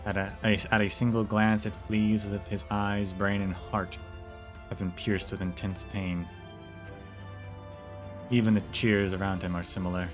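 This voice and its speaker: A man streaming and reading a game's story aloud, relaxed, with chatty asides to viewers. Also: on the low side, moderate pace, long pauses, music in the background. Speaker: streamer narrating a game.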